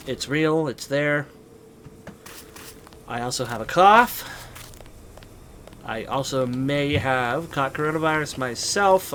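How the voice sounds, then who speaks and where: A stuffy-nosed American man gives loose, wandering commentary over his gameplay at a mid-range pitch. He sounds congested and a little weary, filling his pauses with ums. A steady hiss of background noise sits under his voice. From United States. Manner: weary, rambling, many ums. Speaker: let's play host.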